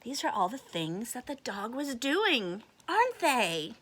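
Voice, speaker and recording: A woman reads a children's story aloud in a bright, high voice. Her delivery is slow and swings widely in pitch, with an expressive, exaggerated manner aimed at young listeners. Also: breathy edge. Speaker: storyteller reading a picture book to children. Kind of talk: animated children's read-aloud with asides and questions.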